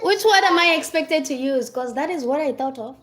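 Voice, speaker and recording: A woman's voice: sits high, expressive, a little fast, fairly bright tone. A woman with a Kenyan accent hosting a casual livestream, chatting and answering viewers' comments. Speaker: livestream host.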